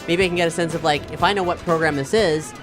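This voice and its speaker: A man with a fairly high voice chats through a tabletop game as a player. He is tentative and thinks aloud, repeating words as he works things out. Music is clearly heard behind him. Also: fairly even intonation, quick pace. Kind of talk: casual improvised game discussion. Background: background music.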